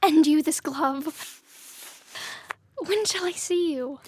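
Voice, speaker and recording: A woman's voice: high voice, slow pace, darkish tone, a little hiss or hum. A young woman playing a passionate, grief-stricken young Trojan woman in love, with impassioned verse delivery in a Shakespearean audio drama. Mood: anxious, wounded. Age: young adult.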